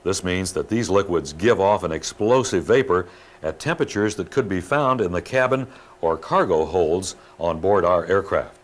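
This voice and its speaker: A man with a distinctly low voice narrates a training film. He reads scripted material in a formal, instructive way, keeping a measured delivery throughout. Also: lively intonation.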